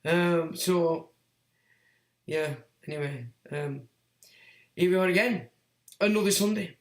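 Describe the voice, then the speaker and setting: Sick, croaky man with a high-set voice, sardonic rambling host, echoey room. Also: slow pace. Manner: rambling, sardonic, talks to himself with fillers. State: hoarse, croaky from being sick. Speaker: livestream host. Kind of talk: rambling solo livestream monologue.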